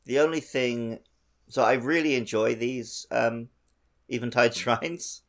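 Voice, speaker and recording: A man's voice: medium pitch, slow. A man co-hosting a podcast, casually discussing a video game and giving his own take. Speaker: podcast co-host.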